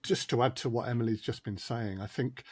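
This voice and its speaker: A man's voice: medium pitch, steady tone, fairly quick, darkish tone, husky, no echo. A man talking as a sound designer and podcast guest, thoughtful and wry, with frequent hesitations, in a casual discussion.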